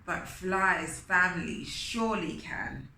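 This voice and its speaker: A woman's voice: on the low side, animated, takes it slowly, vocal fry, pushed and bright, exaggerated voice. A woman voicing a clever, confident male spider hero in a children's storybook, eager and decisive. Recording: roomy echo with faint noise.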